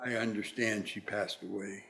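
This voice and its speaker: An actor playing a reformed old miser uncle in a radio play, speaking formally and haltingly. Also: male voice, lowish voice, steady tone, vocal fry, bright, forceful tone, slight background noise. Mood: tender, hesitant. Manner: formal, halting with repeated words.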